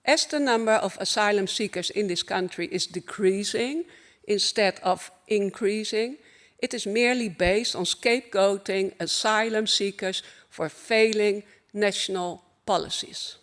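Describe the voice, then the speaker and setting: A woman with a Dutch accent giving a formal opening keynote at a conference, earnest and measured, in short phrases. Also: mid-range voice. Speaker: conference speaker.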